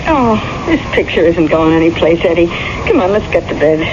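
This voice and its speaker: A woman's voice: fairly low pitch, lively intonation, brisk, close to an everyday voice. A woman playing a nagging, suspicious wife who presses with querulous questions, in 1970s radio drama style. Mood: coaxing, weary. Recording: muffled vintage radio, noisy background.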